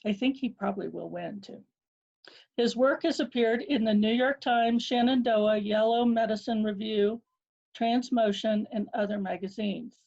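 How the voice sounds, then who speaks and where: A woman's voice: on the high side, moderate pace, gravelly, lo-fi sound. A woman introducing a guest speaker, reading his credits and apologizing for her pronunciation. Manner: warm, apologetic about pronunciation. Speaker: program director introducing the speaker.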